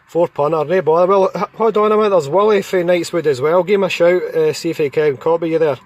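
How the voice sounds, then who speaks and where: A man's voice: fairly high pitch, quick pace. A Scottish man chatting casually as a CB radio operator.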